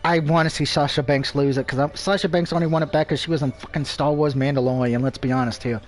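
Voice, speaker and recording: A man's voice: fairly high pitch, steady tone, fast, soft background music. A man casually commentating on a live game stream, rambling and swearing, with opinions on everything and a speech impediment.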